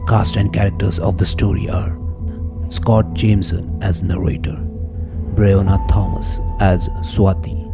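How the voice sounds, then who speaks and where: A man's voice: sits low, flat delivery, a little slow, gravelly, over loud music. A man announcing a podcast drama in a scripted introduction with cast credits.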